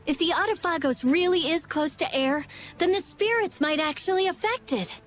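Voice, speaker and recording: A woman voicing a sharp-tongued, clever teenage girl in a video game, brusque and sarcastic. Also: very high voice, moderate pace, faint music behind. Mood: pensive. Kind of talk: English video game voice acting.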